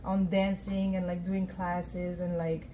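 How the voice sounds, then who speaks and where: Lady, soft mellow voice, chatty story w/ lots of "you know", bit of background noise. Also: fairly low pitch, level tone, slow. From France. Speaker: guest dancer. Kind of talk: casual interview talk. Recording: faint event noise.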